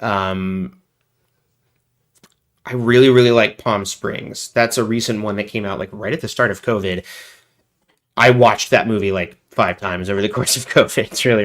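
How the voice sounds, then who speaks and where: A man with a fairly low voice hosts a casual livestream while sick with a cold. He rambles loosely, cracks jokes and pokes fun at himself. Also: fairly even intonation, measured pace, a bit bright. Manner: rambling, joking, self-deprecating. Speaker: livestream host.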